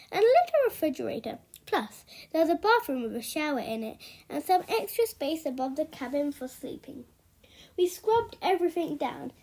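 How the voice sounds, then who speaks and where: A woman reading a children's book aloud as an expressive storyteller. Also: high-pitched.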